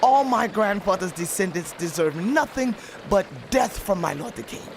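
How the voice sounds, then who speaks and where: High-pitched, pleading, submissive man. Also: expressive, normal speed, gravelly, noisy background. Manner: pleading, deferential. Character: lame, wronged, submissive grandson of a fallen king. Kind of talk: dramatized audio Bible acting.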